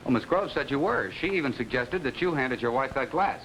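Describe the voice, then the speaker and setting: A persistent, probing detective in a vintage TV mystery. He speaks quickly at a medium pitch, mixing hesitation with pointed questions. The old soundtrack is noisy. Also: lively intonation, a bit bright. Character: persistent, probing amateur detective, son of a policeman. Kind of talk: early 1950s TV mystery acting. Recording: noisy, clicking 1950s TV soundtrack.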